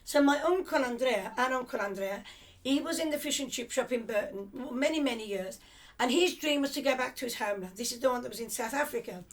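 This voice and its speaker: An older woman with a high, light voice recalls her family's past at an unhurried conversational pace. She drifts and restarts as memories come back, reflective rather than polished. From England, of Cypriot family. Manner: rambling, reflective storytelling with restarts. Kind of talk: reminiscing conversation.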